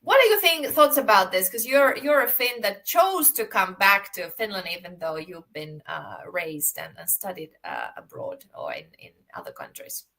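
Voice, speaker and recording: A woman's voice: mid-range voice, very animated, normal speed, few pauses, pushed and bright. A Greek-born woman hosting a video podcast on a call, talking passionately in long run-on stretches. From Greece. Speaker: podcast host. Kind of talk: casual interview chat.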